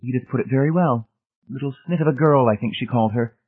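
A man's voice: medium pitch, fast talker, phone-quality sound, voice pushed up, close to an everyday voice. A man voicing a commanding, coolly indifferent wife in a storybook reading, with a chastising manner. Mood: shrugging, smug. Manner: clear, chastising. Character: cool, commanding young wife, sharp and indifferent.

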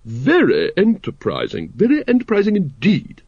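Guy doing a posh gushy aristocrat lady, hearty n plummy, high swoopy voice, audiobook. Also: huge pitch swings, normal speed, a little mellow, breathy edge, no echo, highs cut off, full character voice. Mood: hearty approval. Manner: plummy upper-class gushing. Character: hearty posh middle-aged aristocratic lady, brisk and gushing.

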